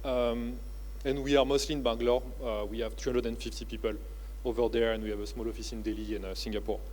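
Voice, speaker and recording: Male keynote speaker, French accent, mid-pitched, halting delivery full of fillers, conference stage talk. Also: level tone, darkish tone, a little hiss or hum, low-quality recording. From France. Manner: halting, with many "uh" and "you know, like" fillers. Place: convention centre stage.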